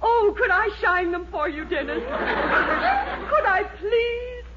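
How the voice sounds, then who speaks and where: A woman's voice: very high-pitched, very animated, slow pace, pushed and bright, a faint crowd, full character voice. An actress playing a fawning, domineering middle-aged American mother in 1940s radio comedy, syrupy and theatrical, on an old recording. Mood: meek, fawning. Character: gushing, fawning mother, bossy to husband. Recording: muffled 1940s radio recording, faint noise.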